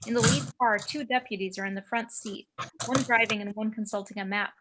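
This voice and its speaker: A woman's voice: a little high, fairly even intonation, fairly quick, background noise. A woman reading screenplay action as narrator, with dramatic, clipped phrasing, recorded over a home video call.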